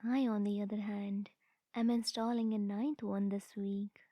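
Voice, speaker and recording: A soft, mellow, slow voice, breathy and often close to whispering: a woman acting out a smug, possessive yandere sister in a comic audio roleplay. She is haughty and deliberate, cooing with condescending sweetness. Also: mid-range voice, little pitch movement, dry recording, close to an everyday voice. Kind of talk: ASMR comedy audio roleplay.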